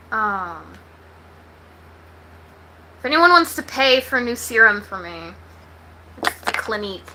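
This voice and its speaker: A woman's voice: mid-range voice, pushed and bright, slight background noise, poor audio. A woman from Texas with an American accent, chatting casually to livestream viewers in a blunt, slangy, sweary way. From Texas, United States. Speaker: livestream host.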